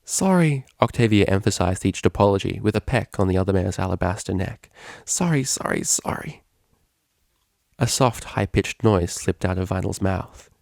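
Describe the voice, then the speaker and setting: A man's voice: sits low, little pitch movement, brisk, gravelly, few pauses, a little mellow, no echo, studio quality. A man narrating a scripted story as a fan fiction reader.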